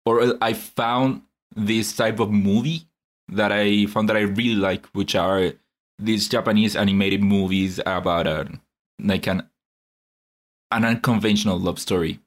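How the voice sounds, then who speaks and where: A young man co-hosts a relaxed podcast. His voice sits somewhat low. He speaks in a measured, thoughtful way and often pauses on hesitant fillers. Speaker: podcast co-host. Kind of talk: casual podcast chat.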